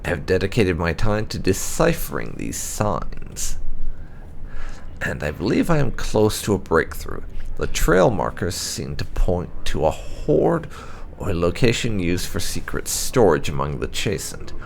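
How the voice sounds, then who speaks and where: A man narrating game lore notes aloud in a measured, storyteller-like way. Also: low-pitched, huge pitch swings, vocal fry, slight background noise. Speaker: gaming video host.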